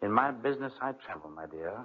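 Sly manipulative guardian uncle: older, insinuating, husky, widely swooping pitch, vintage radio melodrama. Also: male voice, expressive, fast. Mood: sly persuasion. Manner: insinuating, coaxing. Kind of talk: 1940s radio acting. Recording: muffled vintage 1940s radio recording.

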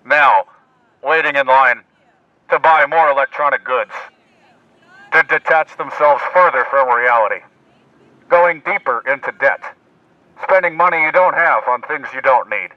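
A man's voice: mid-range voice, unhurried, long pauses, no echo, lo-fi sound. A man giving mocking, declamatory mock-news narration through a bullhorn, outdoors. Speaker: prankster video host.

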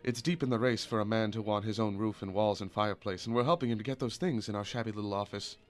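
A man's voice: on the low side, steady tone, quick pace, close to an everyday voice. An actor playing a kindly, weary, principled small-town father in a radio drama. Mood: sincere. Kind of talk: old-time radio drama acting.

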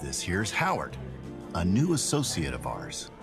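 A man's voice: sits low, wildly swooping pitch, measured pace, music in the background, full character voice. A man playing a confident, courteous 1920s bootlegger in an audio drama. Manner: courteous, assured. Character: smooth-talking, confident 1920s male bootlegger.

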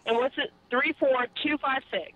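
A woman's voice: high voice, steady tone, moderate pace, pushed and bright. A woman working as a shipping customer service agent, talking on a phone call. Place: on the other end of a phone call. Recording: muffled narrowband phone line.

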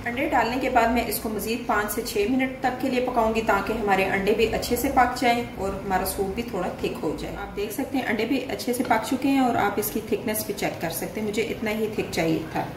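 Woman cooking host in an echoey room. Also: on the high side. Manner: friendly, explains each step plainly with small pauses. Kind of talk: step-by-step recipe narration. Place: home kitchen. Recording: echoey room, voice a bit distant, faint noise.

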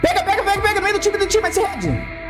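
Young Brazilian male game streamer, quick and wildly animated, repetitive, sweary, music behind. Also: very high-pitched. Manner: excitable, repetitive, heavily profane slang. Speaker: gaming streamer. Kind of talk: excited live game-stream commentary. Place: indoor room, webcam streaming setup.

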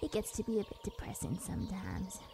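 An actress playing a kindly, wistful elderly retirement home resident in an audio drama, gentle and genteel. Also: female voice, mid-range voice, sing-song, fast talker. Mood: flattered, wistful. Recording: faint noise, birdsong behind.

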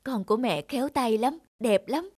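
High-voiced selfless peasant mother. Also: lively intonation, moderate pace, darkish tone, slightly rough, no echo, clean studio sound. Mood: tender. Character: selfless, worn-out peasant mother, pleading and tender. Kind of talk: Vietnamese cartoon fairy tale acting.

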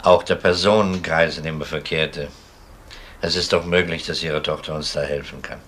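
A police detective with a low voice, formal and measured as he probes. It is a crime radio play, with clear background noise on the recording. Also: fairly even intonation, a bit bright. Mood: formal, courteous. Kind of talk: German crime radio drama acting. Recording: noisy old radio drama recording.

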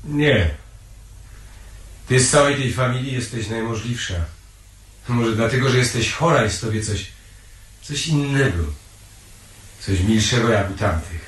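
An actor playing a cynical, mocking young bourgeois son in a radio play, theatrical and sardonic. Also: male voice, mid-range voice, a little slow, pauses often, close to an everyday voice. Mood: bitter. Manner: sardonic, theatrical, drawling interjections. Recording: old radio recording with faint noise.

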